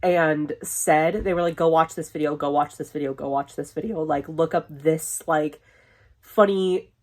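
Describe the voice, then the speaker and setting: A woman's voice: low-pitched, fairly even intonation, moderate pace, a little mellow. A young woman vlogging casually from her bedroom, rambling with lots of fillers and swearing, sniffly and losing her voice. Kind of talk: casual chat to camera. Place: bedroom, window open.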